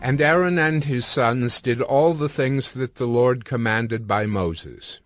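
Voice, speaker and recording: A man narrating scripture from a script in a measured, solemn manner. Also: medium pitch, a bit bright, no room sound, clean studio sound. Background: music.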